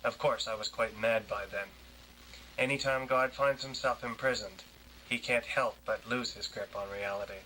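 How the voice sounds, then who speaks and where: A man reading a first-person literary piece in a measured, dramatic way. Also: mid-range voice, steady tone, bright, forceful tone, a little hiss or hum, low-quality recording.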